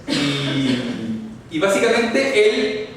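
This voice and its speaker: A man with a Chilean accent teaches a classroom, explaining points and checking in with his listeners. His voice sits high for a man. The recording is from far off in a large echoey hall with a long reverb tail. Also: very animated, drawn-out words, fairly bright tone, a little hiss or hum. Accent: Chilean Spanish. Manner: explanatory, checks in often with the audience, chatty asides. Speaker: orthodontics lecturer. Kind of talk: live classroom lecture with audience questions. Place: lecture hall.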